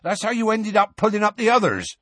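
A man voicing a big, gruff half-giant who shouts and coaxes in rustic, colloquial speech for a storybook. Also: fairly high pitch, very animated, measured pace, dry recording, voice pushed up, exaggerated voice. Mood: loud coaxing.

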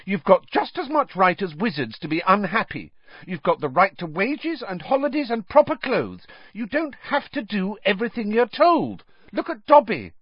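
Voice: mid-range voice, huge pitch swings, takes it slowly, breathy edge, no echo, muffled recording, voice pushed up, exaggerated voice. A performer voicing an earnest, bossy, easily exasperated teenage girl in a storybook reading. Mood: angry, insistent.

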